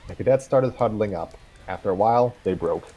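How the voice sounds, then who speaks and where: A man's voice: on the low side, warm tone, highs cut off. A man hosting a live stream, reading a fan story aloud and throwing in side comments. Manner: reads narration with quick voices and side comments. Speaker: story reader and streamer host.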